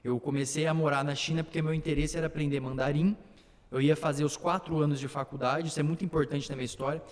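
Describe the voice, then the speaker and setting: A young man whose first language is Slovak talks through his life story in earnest detail, his voice sitting a little high for a man. The recording carries a long, hall-like reverb. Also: steady tone, quick pace. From born in São Paulo, raised in Slovakia and Brazil. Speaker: city council candidate. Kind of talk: casual interview, telling his life story.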